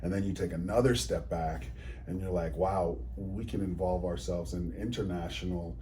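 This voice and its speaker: A man in his forties talking in a relaxed interview, easygoing and earnest, often repeating and restarting words. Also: low voice, normal speed, slight background noise. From New Zealand, raised in Ontario, Canada. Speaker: guest, charity executive. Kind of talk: casual interview conversation.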